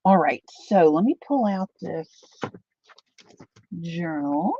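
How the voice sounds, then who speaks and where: An older woman chatting casually to viewers while she crafts, thinking out loud as she works. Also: lowish voice, animated, takes it slowly, breathy edge, no room sound. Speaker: craft livestreamer. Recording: dull lo-fi dry sound.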